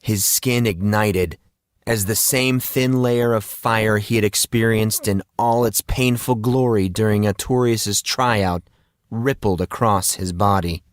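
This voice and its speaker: A man narrating a fantasy story as an audiobook, in a dramatic, deliberate and portentous manner. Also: on the low side, no room sound, studio quality.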